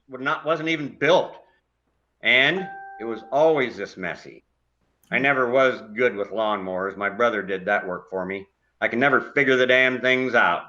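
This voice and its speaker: A man's voice: mid-range voice, sharp tone, muffled recording, lightly acted. A man playing a grumpy older widowed neighbor in a stage play, blunt and dryly complaining. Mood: grumbling, resigned.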